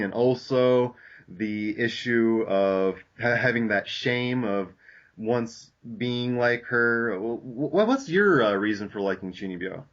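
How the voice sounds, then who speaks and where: A young man hosting a casual podcast discussion, talking haltingly with many fillers and restarts. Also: medium pitch, fairly bright tone. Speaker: podcast host. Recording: lo-fi dull audio.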